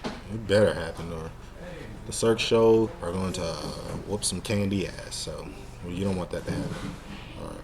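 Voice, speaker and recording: A man's voice: deep voice, fairly even intonation, normal speed, background noise. A man hosting a casual fan discussion, talking in a slangy, rambling, sometimes profane way. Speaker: show host.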